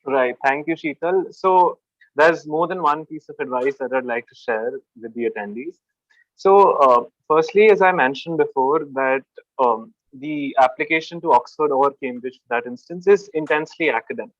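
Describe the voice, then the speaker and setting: A man's voice: a little high, moderate pace, dry recording. Formal young man, dull call audio. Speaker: admitted student panelist. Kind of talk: webinar answer.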